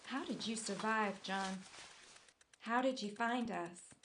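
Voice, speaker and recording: A woman's voice: medium pitch, slow, fairly bright tone, a little hiss or hum. A woman playing a frightened but defiant survivor in an audio drama, tense, pleading and questioning. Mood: fearful, defiant.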